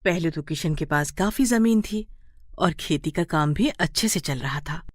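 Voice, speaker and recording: Animated, lowish-voiced woman storyteller. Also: a little slow, dry recording, studio quality. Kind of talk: scripted children's story narration.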